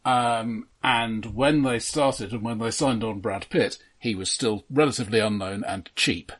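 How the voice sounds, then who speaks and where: A man co-hosting a casual film discussion, explaining points in a measured way. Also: medium pitch, fairly bright tone. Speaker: podcast co-host.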